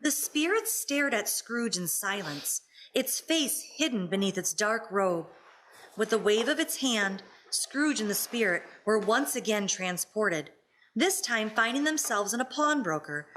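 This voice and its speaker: A woman's voice: fairly high pitch, animated, measured pace, fairly bright tone, slight background noise, rough recording. A woman reading scripted narration for a radio play.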